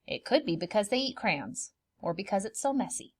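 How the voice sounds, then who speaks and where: A woman reading a picture book aloud for preschoolers, expressive and dramatic, pausing to put questions to the children. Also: mid-range voice, breathy edge. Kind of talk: animated children's storybook read-aloud with asides.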